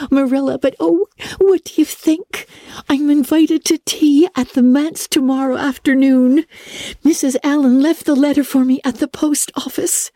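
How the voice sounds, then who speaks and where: A woman doing a dramatic young storybook girl, her voice pitched well above a grown woman's and tumbling out in eager, breathless rushes. She stammers when upset and swoons over every small joy. The sound is close and dry. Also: dark tone, dry recording. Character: excitable talkative orphan girl, dramatic and eager. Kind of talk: audiobook character voice.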